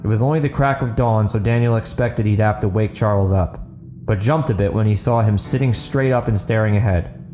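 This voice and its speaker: Male narrator for audio fiction, relaxed tone, light guitar bed. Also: fairly low pitch, brisk. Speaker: author narrating his audio book podcast. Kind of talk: scripted storytelling narration.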